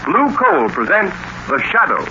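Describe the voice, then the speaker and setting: A man's voice: sits high, very animated, normal speed. An American man reading scripted announcements in an emphatic, formal old-time radio style. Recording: noisy, muffled vintage radio broadcast.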